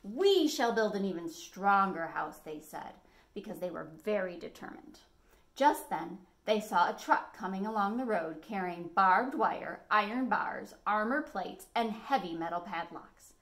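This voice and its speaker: Expressive middle-aged woman reading to children. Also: mid-range voice, a little slow. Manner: animated storytelling with dramatic emphasis. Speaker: library storytime host. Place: living room at home, indoors.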